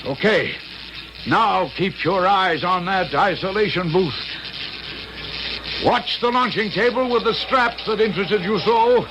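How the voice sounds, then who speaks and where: Excitable, high-voiced older American professor. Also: male voice, measured pace, highs cut off, music in the background. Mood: urgent. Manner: stammering, repeats words, exclamatory. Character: excitable, absent-minded older professor and fatherly inventor. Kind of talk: old-time radio drama acting. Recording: noisy old radio drama recording.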